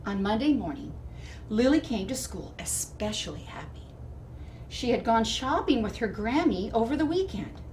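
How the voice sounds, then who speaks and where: A woman reading a storybook to children in expressive narration, with deliberate, emphatic phrasing. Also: on the high side. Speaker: storybook reader. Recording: distant mic with clear background noise.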